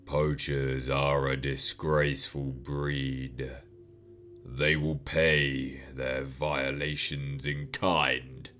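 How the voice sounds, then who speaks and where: Man doing a burnt demon priest, very deep, dragging slow, cold menace, grave pronouncements. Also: little pitch movement, a bit bright, heavily acted. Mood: menacing.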